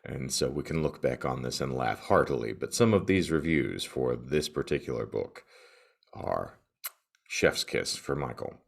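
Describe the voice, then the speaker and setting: A man with a gravelly morning voice hosting a solo podcast, talking and reading aloud in a wordy, florid, tongue-in-cheek manner. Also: extremely low pitch, lively intonation, a little slow. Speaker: podcast co-host. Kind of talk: solo podcast monologue, comic reading of reviews.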